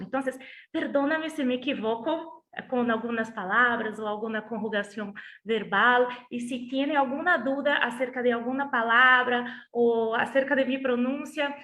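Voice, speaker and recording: Brazilian woman speaking halting Spanish. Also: on the high side, bright, forceful tone. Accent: Brazilian Portuguese. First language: Portuguese. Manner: halting, restarts words while searching for Spanish. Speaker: guest speaker, lawyer. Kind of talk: online lecture introduction.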